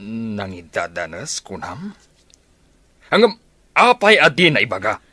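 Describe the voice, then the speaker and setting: Man playing a stern, animated Ilocano father. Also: lowish voice, slow pace, dry recording, exaggerated voice. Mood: outraged. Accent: Filipino, Ilocano. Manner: harsh, scolding. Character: stern, angry father who disowned his pregnant daughter. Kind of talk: radio drama acting.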